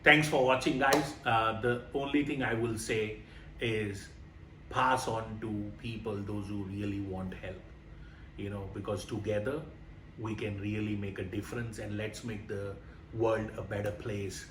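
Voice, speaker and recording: A man's voice: fairly low pitch, fairly even intonation, unhurried, fairly bright tone. A man in his late thirties from India, co-hosting a motivational podcast in an earnest, emphatic way that repeats phrases. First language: Indian languages. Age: 30s. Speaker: podcast co-host. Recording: roomy, distant mic with faint noise.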